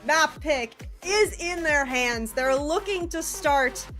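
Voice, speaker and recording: A woman's voice: high-pitched, animated, slow pace, fairly bright tone, faint music behind. A woman giving analytical esports commentary in a conversational, explanatory way.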